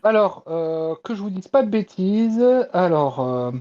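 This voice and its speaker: A man's voice: high-pitched, lively intonation, measured pace, highs cut off, over a noisy crowd. A man chatting casually as a panelist reporting news on a talk show, hesitant and searching for words. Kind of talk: casual chat.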